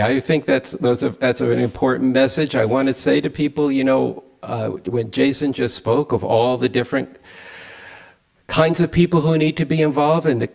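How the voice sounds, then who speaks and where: A man hosting a political discussion as an activist, speaking emphatically and haltingly, often restarting his sentences. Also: mid-range voice, creaky, darkish tone. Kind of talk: impassioned political conversation at a streamed event.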